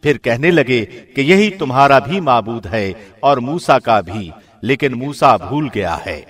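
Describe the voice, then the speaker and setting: Man reading scripture translation, measured and formal. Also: mid-range voice, animated, a bit bright. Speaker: professional radio presenter reading scripture translation.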